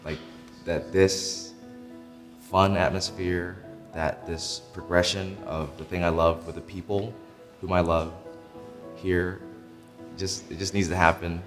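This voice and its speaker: A man organizing the event, talking earnestly in a documentary interview, halting with fillers. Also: deep voice, level tone, a bit bright, in a large hall. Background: music.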